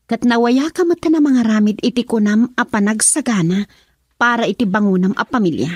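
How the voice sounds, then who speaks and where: A woman playing a young woman who breaks off her engagement in a radio drama, her speech sharp and dismissive. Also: fairly high pitch, a little fast, breathy edge, dry recording, clean studio sound. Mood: defiant.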